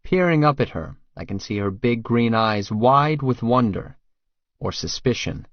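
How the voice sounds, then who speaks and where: A man's voice: medium pitch, expressive, measured pace, dry recording, dull audio. A man narrating first-person fiction as a tough, crude, brooding hitman, terse and guarded. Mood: brooding, smitten. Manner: terse, hard-boiled, profane. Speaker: audiobook narrator.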